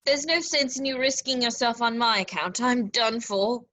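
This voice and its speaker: A woman acts out a polite robot servant in a sci-fi table read. The voice sits fairly high. The droid is fretful and melodramatic, bemoaning its lot in a plaintive, fussy manner. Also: moderate pace, fairly bright tone, close to an everyday voice. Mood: dazed, plaintive. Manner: fussy, plaintive, self-pitying. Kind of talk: script table read acting.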